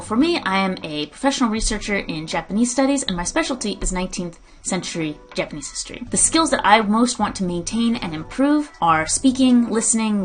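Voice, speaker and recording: A woman in her thirties with a fairly low voice, walking through a study-tracking method step by step. She explains in a clear, steady tutorial manner and sometimes restarts a phrase. Faint music plays underneath. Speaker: language learning educator. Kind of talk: instructional screen-share tutorial.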